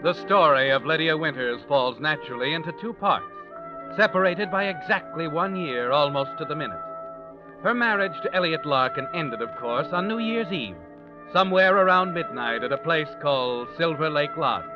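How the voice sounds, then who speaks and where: An American man playing a mysterious, all-knowing narrator of dark tales in a theatrical, deliberate 1940s radio mystery style. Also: a little high, animated, lightly acted. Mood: grave storytelling. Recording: muffled old radio recording, prominent music behind.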